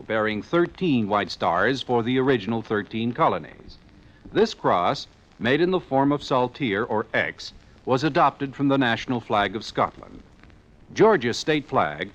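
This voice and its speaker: Formal American announcer with animated pitch. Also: male voice, low-quality recording. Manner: formal, measured. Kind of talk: scripted public-service narration on old radio.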